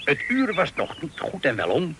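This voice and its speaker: A man's voice: on the high side, wildly swooping pitch, noisy background. An actor in a Dutch radio drama playing a captive sea captain, a pious, wry and stubborn family man who talks in a folksy way. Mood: wry, reflective. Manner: folksy, wry, unhurried storytelling.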